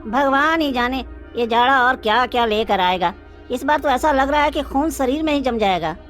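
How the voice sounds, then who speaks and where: Woman doing a high-pitched, scolding villager. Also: expressive, moderate pace, a bit bright, faint music behind. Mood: worried, complaining. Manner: complaining, scolding. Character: fretful, scolding villagers in hard times. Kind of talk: cartoon dialogue acting.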